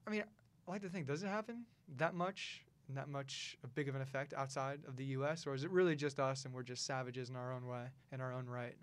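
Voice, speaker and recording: A man's voice: medium pitch, quick pace, clear, resonant tone. A man hosting a podcast in a small studio, chatting casually and rambling a little, with frequent laughs. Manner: relaxed, rambling, laughs often. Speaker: podcast host. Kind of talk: casual chat.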